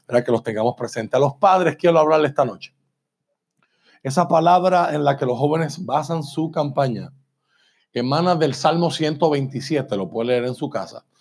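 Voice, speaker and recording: A male preacher giving a church sermon, slowing down to stress points and often asking "¿verdad?". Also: fairly high pitch, darkish tone, breathy edge. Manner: emphatic, slows and repeats for stress, asks "¿verdad?". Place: church sanctuary.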